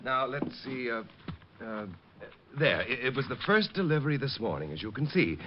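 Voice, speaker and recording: A man's voice: medium pitch, very animated, normal speed, noisy background, lightly acted. An actor playing a fussy, flustered male office manager in an old radio drama, hesitant, stammering and prissily polite. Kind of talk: 1940s-50s radio detective drama acting. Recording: dull old-time radio recording.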